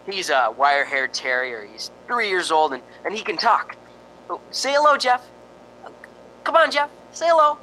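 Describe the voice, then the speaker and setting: A man's voice: sits high, very animated, moderate pace, bright, forceful tone, slight background noise, heavily acted, poor audio. A man playing a jittery, joking, skeptical radio reporter in an amateur radio drama, with a folksy, stammering delivery. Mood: chatty, coaxing. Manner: folksy, wisecracking, nervous stammering.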